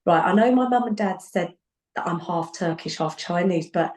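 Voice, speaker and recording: A woman in her forties with an English accent, chatting as a podcast guest, lively, laughing often and repeating herself. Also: fairly low pitch, normal speed. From England. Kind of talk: casual conversation. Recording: echoey room.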